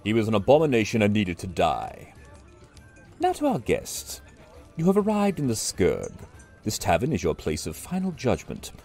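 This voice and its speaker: A gravelly sardonic judge. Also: male voice, fairly low pitch, huge pitch swings, normal speed, darkish tone, faint music behind. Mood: commanding. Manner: sardonic, commanding. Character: weary judging male afterlife tavern keeper, grim and sardonic. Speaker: afterlife judge. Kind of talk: audio drama acting.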